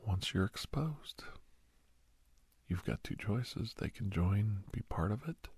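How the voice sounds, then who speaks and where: A man playing a kindly doctor in an ASMR roleplay, speaking in a hushed, breathy whisper with pauses. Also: deep voice, no echo, heavily acted. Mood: gentle, frank. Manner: hushed, unhurried, with pauses and soft chuckles. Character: kindly doctor advising a young werewolf, old family friend.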